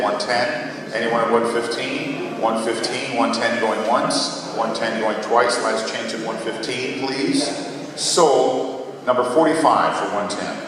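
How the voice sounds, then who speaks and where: Male auctioneer in a very echoey hall. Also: mid-range voice. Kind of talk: live auction calling. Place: large hall at a public auction. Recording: very echoey crowded hall, distant.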